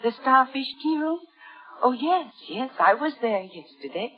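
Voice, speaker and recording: An actress playing a timid, lovestruck spinster of sixty in a vintage radio drama, hesitant and dramatic. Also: female voice, sits high, animated, a little slow. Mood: shy, flustered. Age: 60s. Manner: breathless, hesitant, dramatic diary narration. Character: timid, lovestruck, naive sixty-year-old spinster keeping a diary. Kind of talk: 1950s radio drama acting. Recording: muffled vintage radio broadcast with music.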